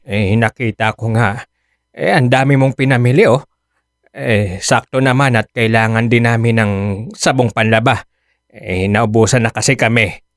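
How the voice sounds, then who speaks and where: A man's voice: medium pitch, steady tone, moderate pace, no echo, studio quality, lightly acted. An actor playing an apologetic, mild-mannered older Filipino father in a radio drama, hesitant and pleading. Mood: grateful, sheepish.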